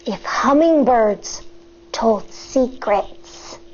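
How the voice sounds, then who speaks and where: An American woman in her thirties, a teacher reading a picture book aloud to children in an expressive, deliberate way. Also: on the high side, highs cut off, slight background noise. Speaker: elementary school teacher.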